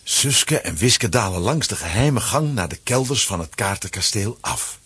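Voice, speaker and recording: A man with a Flemish accent and a husky voice, narrating a children's adventure as a scripted audio drama. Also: on the low side, expressive, normal speed, a bit bright, no room sound, exaggerated voice. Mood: suspenseful.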